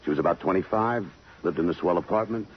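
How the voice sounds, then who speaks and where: An actor playing a blunt, tough police lieutenant in a 1950s radio drama, questioning people in terse, dry slang. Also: male voice, low voice, fast talker, natural voice. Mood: matter-of-fact. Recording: muffled, hissy 1950s radio recording.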